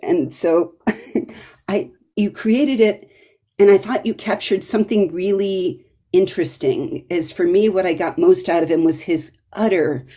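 Low, mellow woman interviewer. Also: unhurried, slightly rough. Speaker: podcast interviewer. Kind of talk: conversational literary discussion.

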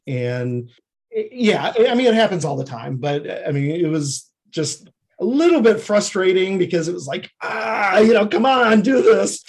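A man's voice: on the high side, breathy edge. A man speaking as an interview guest, telling stories conversationally and acting out bits of dialogue as he goes. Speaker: podcast guest, screenwriter.

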